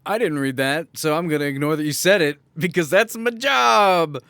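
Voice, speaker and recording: A man's voice: fairly high pitch, moderate pace, a bit bright, dry recording. A man co-hosting a podcast with casual, joking and sometimes crude banter. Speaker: podcast co-host.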